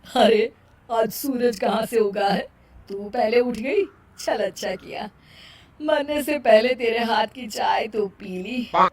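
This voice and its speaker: A woman performs an older Indian mother-in-law for a cartoon moral tale. She is weary and blunt, grumbling with sardonic bite in a fairly high voice. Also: slow. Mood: surprised, sardonic. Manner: complaining, sardonic, plain-spoken. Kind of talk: cartoon moral story acting.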